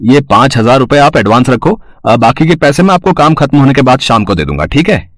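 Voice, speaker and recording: A man's voice: mid-range voice, big pitch swings, a little fast, no echo, studio quality, natural voice. A man voicing a polite, helpful burger shop owner in a cartoon story, courteous and explanatory. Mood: polite, earnest.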